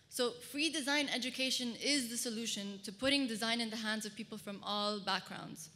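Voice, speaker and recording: A woman's voice: on the high side, bright, forceful tone. A woman from Kuwait giving a personal storytelling talk as a public speaker at a conference. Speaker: public speaker, fashion designer. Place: large hall, speaking to an audience. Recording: reverberant hall, amplified stage talk.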